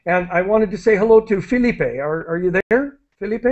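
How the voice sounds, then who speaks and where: High-voiced man teaching over a video call. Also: animated, moderate pace, a little mellow. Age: middle-aged. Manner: friendly, explanatory, with many fillers and restarts. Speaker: English teacher hosting an online class. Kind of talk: live online English lesson. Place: small room indoors. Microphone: headset on a video call. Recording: dull lo-fi video call audio.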